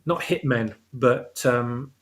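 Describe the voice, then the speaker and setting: A man's voice: mid-range voice, slow. A man speaking as a guest author, explaining his work conversationally and searching for the exact word as he goes. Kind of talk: explaining own story in casual discussion.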